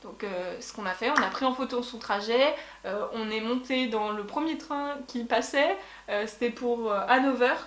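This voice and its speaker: A woman's voice: fairly high pitch, moderate pace, fairly bright tone, slight background noise. A young woman vlogger telling a travel story in a casual chat, with many fillers and restarts. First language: French. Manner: chatty, lots of fillers and restarts. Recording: echoey room.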